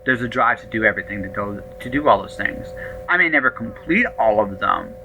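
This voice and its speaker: A man in his late thirties hosting an interview podcast, chatting casually in an animated, excitable way and stumbling over his words. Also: on the low side, brisk, over music, poor audio. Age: 30s. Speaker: podcast host.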